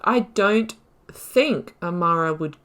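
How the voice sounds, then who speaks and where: Low-pitched woman thinking aloud. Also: animated, slow pace, fairly bright tone. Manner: rambling, reflective, thinking aloud. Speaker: gaming video host. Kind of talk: casual playthrough commentary with story analysis.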